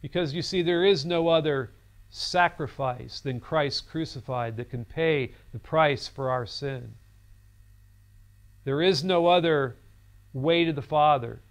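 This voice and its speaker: A man in his fifties teaches from a studio classroom set, his voice sitting a little high for a man. He talks earnestly and tells stories, and the lapel mic gives his voice a close, clean sound. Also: unhurried. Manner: earnest, storytelling, gestures while talking. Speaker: seminary lecturer. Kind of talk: recorded seminary lecture.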